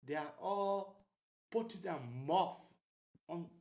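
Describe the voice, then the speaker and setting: A man's voice: high-pitched, fairly even intonation, slow, darkish tone, a little hiss or hum. A man, co-hosting a studio talk show and responding emotionally. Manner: emotional, affirming. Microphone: headphones with desk mic.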